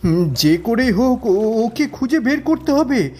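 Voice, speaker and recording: A man voicing a slow, plaintive worried villager. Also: very high-pitched, big pitch swings, darkish tone, husky, voice pushed up, full character voice. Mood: worried, tearful. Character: distressed villager begging the headman for help.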